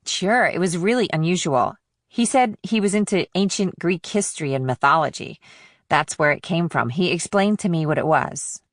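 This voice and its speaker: A woman's voice: fairly low pitch, expressive, normal speed, no room sound. Give me a woman voicing an inquisitive, blunt fourteen-year-old girl for a thriller audiobook, dry and close. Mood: guarded. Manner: short, probing replies. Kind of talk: audiobook character dialogue.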